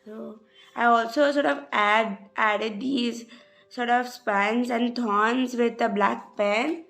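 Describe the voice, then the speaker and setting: Young woman with a slightly rough voice. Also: fairly high pitch, fairly even intonation, slow pace, fairly bright tone, faint music behind. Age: young adult. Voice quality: hesitant, many "sort of" fillers. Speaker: journal vlogger. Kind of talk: casual craft walkthrough voice-over.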